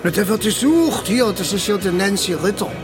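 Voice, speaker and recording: A man's voice: high-pitched, animated, normal speed. A man playing a chummy, tactless, chattering police colleague in a naturalistic radio drama, jovial and colloquial. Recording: music behind the voice.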